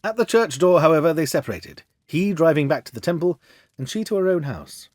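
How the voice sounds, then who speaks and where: A man reading a storybook as a confident, commanding Victorian gentleman detective with an assured, theatrical manner. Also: fairly high pitch, lively intonation, fairly quick, dry recording, studio quality, voice pushed up, close to an everyday voice. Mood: brisk, assured.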